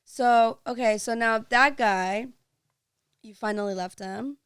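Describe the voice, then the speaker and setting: A woman's voice: on the high side, slow, smooth, no echo, studio quality. A woman hosting a podcast, talking earnestly and chattily, full of "like"s. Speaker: podcast host. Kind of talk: casual chat.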